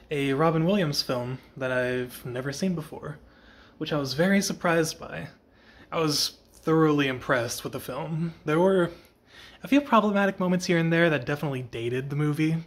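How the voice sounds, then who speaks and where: A young man chatting casually in a vlog, tired with a slightly scratchy voice, rambling and correcting himself as he goes. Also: medium pitch. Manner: rambling, self-correcting, soft-spoken. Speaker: vlogger. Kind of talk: casual after-work vlog chat.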